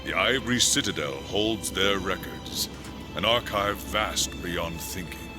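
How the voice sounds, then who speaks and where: An actor playing a seasoned, steadfast angel warrior in a fantasy game, speaking formally and earnestly. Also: male voice, low-pitched, unhurried, over music. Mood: grave, steadfast. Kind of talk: dramatic game cutscene acting.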